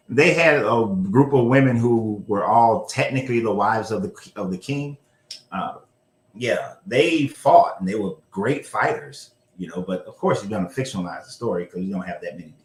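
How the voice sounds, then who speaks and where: Loose-talking man in a roomy room. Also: on the low side. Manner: loose, profane, corrects himself aloud. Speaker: show host. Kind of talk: casual history discussion. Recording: roomy echo.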